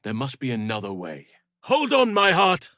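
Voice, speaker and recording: A man voicing an earnest, solemn druid healer in a fantasy video game, speaking in measured, formal phrases. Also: mid-range voice, wildly swooping pitch, sharp tone, no room sound, studio quality. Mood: battle cry. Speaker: video game companion character. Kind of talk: scripted fantasy game voice acting.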